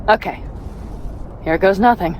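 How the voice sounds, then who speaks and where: An actress playing a tough young woman mercenary in a video game, brisk, practical and wry. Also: mid-range voice, loud music behind the voice, close to an everyday voice. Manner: brisk, practical, wry, casually foul-mouthed. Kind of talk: video game cutscene dialogue, naturalistic. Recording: clear vehicle and ambience noise behind.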